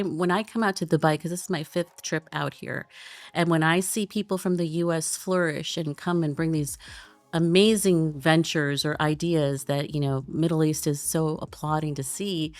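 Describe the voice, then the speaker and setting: A woman's voice: low voice, steady tone, normal speed, dry recording. A woman born in Pakistan and raised in Chicago, hosting an interview with eager, effusive, rambling questions. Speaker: podcast host. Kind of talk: casual interview chat.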